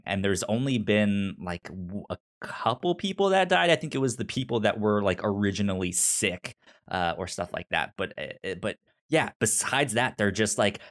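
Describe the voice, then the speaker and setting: Halting, low-voiced man chatting casually. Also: a bit bright. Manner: halting, stammering with repeated false starts. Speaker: podcast co-host discussing an audio drama. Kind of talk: casual chat, recapping a story.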